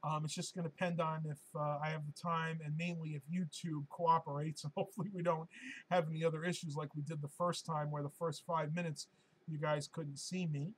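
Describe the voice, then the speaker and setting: Hoarse-voiced man chatting on a live stream. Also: on the high side, level tone, fairly quick, low-quality recording. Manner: chatty and rambling, with many ums and uhs. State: hoarse, losing his voice. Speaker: live-stream host answering viewer questions.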